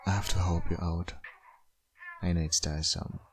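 A man playing a caring, sleepy young father and ex-boyfriend in an ASMR audio roleplay, gentle and hesitant, with a low husky voice. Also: steady tone, normal speed, slight background noise. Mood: calm, reassuring.

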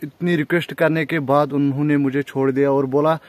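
A man's voice: fairly high pitch, flat delivery, brisk, no room sound. A man, chatting casually to his viewers as a vlogger and repeating phrases for emphasis, on a handheld phone outdoors. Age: 20s. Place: outdoors on village roads, paths and by water. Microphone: handheld phone at arm's length. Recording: noisy outdoor phone recording.

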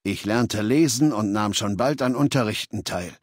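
A man voicing a cold, imperious warlord for a video game, speaking in a measured, self-assured, lecturing way. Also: on the low side, no echo, clean studio sound, natural voice. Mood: reflective, self-assured. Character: imperious, cold male warlord ruling a slave-taking legion. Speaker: game character voice. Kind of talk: German video game dialogue.

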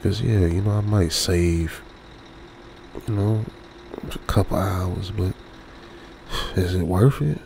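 A man playing a lonesome, uneasy young trucker, muttering colloquially with pauses in an ASMR radio drama. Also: low voice, gravelly, dark tone, a little hiss or hum, exaggerated voice. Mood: weary grumbling. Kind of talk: ASMR old-school radio drama monologue.

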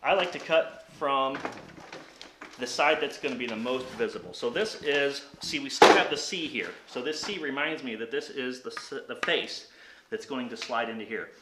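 A man's voice: mid-range voice, moderate pace. A man in his thirties hosting a hands-on workshop tutorial, explaining each step plainly while he works, recorded by a camera a few metres away in an indoor home workshop. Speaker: woodworking channel host. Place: indoor home workshop, cluttered and hard-walled. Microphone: camera on a tripod a few metres away. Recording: echoey workshop with tool noise. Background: power tool and workshop noise.

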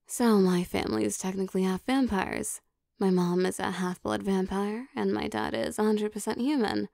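A woman playing an affectionate, possessive vampire girlfriend in an intimate ASMR roleplay, speaking in a soft, teasing, coaxing manner. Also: mid-range voice, creaky, no room sound, clean studio sound. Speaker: voice actor.

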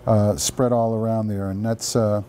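Bright-voiced man co-hosting a cooking show. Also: fairly low pitch, little pitch movement, moderate pace. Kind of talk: casual cooking demonstration chat. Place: large indoor demonstration kitchen. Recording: faint background noise.

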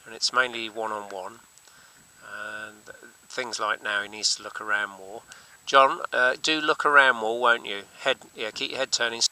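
A man's voice: on the low side, fairly even intonation, moderate pace, bright, forceful tone, poor audio. A man teaching paragliding, commentating from a hilltop and in the air while coaching a student. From England. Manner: coaching over radio, calling out instructions. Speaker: paragliding instructor. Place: outdoors on a hilltop and in flight.

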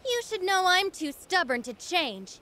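A woman's voice: extremely high pitch, sing-song, a little slow, pushed and bright, full character voice. A woman voicing a stubborn young female rebel fighter in a video game, earnest and defiant.

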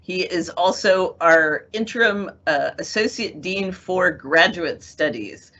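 Middle-aged woman, panel moderator, bright tone, muffled call audio. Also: on the low side, lively intonation, slow. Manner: friendly, organized introductions. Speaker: moderator, assistant dean. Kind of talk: hosting a video-call panel. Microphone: video call. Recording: dull lo-fi video-call audio.

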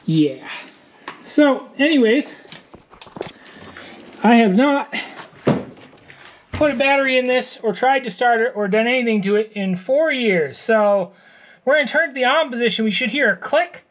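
A man in his thirties talks his way through a truck repair in a cluttered wooden garage, mumbling to himself and cracking dry jokes at his own expense. His voice is worn and a little hoarse from days of talking, recorded on a head-mounted camera. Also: very high voice, expressive, moderate pace, long pauses. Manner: wry, self-mocking, muttering to himself while working. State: losing his voice. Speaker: vlogger working on his truck. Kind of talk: casual workshop vlog.

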